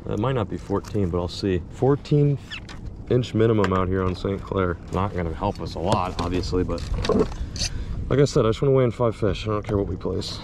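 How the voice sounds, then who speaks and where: A young man in his twenties vlogging excitedly from a small bass boat on a lake, talking to an action camera. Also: fairly quick, vocal fry, background noise. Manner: upbeat, excitable, hypes the action. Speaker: fishing vlogger. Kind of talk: casual vlog narration.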